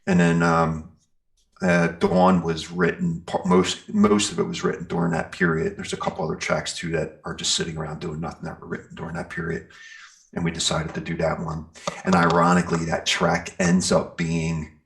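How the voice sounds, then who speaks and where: A very low-voiced American man with a gravelly, creaky edge. He talks casually as an interview guest, rambling through stories and leaning on "you know" and "like". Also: flat delivery, normal speed. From Pennsylvania, United States. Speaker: interview guest, metal band singer and guitarist.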